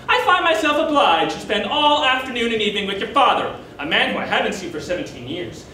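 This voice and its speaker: Man putting on a haughty, high-pitched older mother. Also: bright, forceful tone, full character voice. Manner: indignant, haughty, martyred. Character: aggrieved, self-pitying older mother, guilt-tripping her son. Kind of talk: theatrical stage monologue. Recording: echoey room, distant mic, faint noise.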